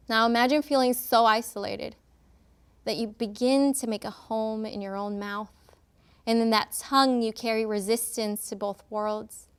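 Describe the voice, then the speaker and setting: A young adult woman from Nicaragua, Spanish her first language, speaks in a passionate, declamatory way with deliberate phrasing. Her voice sits a bit high and rings smooth and clear. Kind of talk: prepared spoken-word talk.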